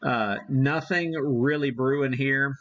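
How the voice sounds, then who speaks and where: A man hosting a casual live demo, thinking aloud in a halting, folksy, self-deprecating way. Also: mid-range voice, fairly bright tone. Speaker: host of a live ham radio demonstration. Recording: dull, muffled lo-fi sound.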